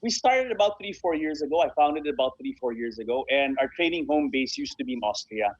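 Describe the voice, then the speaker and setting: A man's voice: medium pitch, expressive, fast, husky, no room sound, highs cut off. A man speaking as a guest in a conversational radio interview, emphatic and repeating phrases to stress them. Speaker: interview guest, sports association founder and honorary consul.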